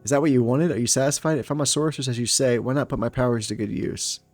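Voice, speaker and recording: A man's voice: medium pitch, quick pace, a little mellow, no echo, soft background music, natural voice. A man voicing a defiant, hot-tempered young woman in a fantasy story game, with an indignant, confrontational manner. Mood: angry, defiant. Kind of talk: reading a story game aloud.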